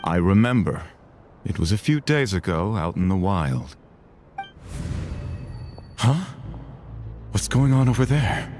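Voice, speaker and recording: A man's voice: lowish voice, very animated, takes it slowly, pauses often, over music. A man playing an earnest, devout guardsman in a game cutscene, formal and emphatic. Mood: recounting. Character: earnest, indignant devout guardsman of a moon order. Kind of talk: video game cutscene acting.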